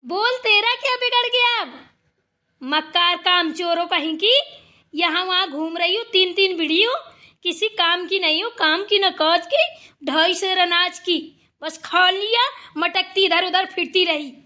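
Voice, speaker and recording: A very high, piercing cartoon mother-in-law from a village household, played by a woman. She scolds sharply and sarcastically, short-tempered and full of insults. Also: measured pace, sharp tone, exaggerated voice. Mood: angry, insulting. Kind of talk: Hindi family cartoon dialogue.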